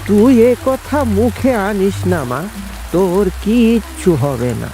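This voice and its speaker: A man's voice: sits high, sing-song, slow, exaggerated voice. A man voicing an authoritative village headman in a cartoon. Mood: anxious, grieving. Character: stern, unjust village headman, worried father. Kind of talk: cartoon dialogue. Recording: loud music behind, rain sounds.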